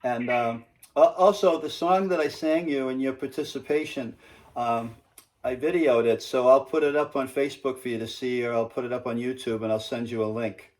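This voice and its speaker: A man's voice: medium pitch, steady tone, moderate pace, darkish tone. A man in his seventies from New England with an American accent, talking in a chatty, easygoing, folksy way. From New England, United States. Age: 70s. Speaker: singer and guitarist. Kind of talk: casual chat on a phone call. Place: at home, indoors.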